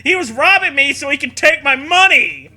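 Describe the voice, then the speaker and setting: A dim-witted farmer in full comic outrage, put on by a man reading a story. The voice is high and very forceful, swinging wildly as he rants and repeats himself. Music plays faintly behind. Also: animated, normal speed, heavily acted. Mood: hysterical outrage. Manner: loud, over-the-top, repetitive.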